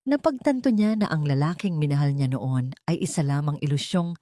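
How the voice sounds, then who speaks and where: A woman's voice: deep voice, expressive, a little fast, dark tone, no room sound, clean studio sound. A woman with a Filipino accent narrating a dramatic story from a script.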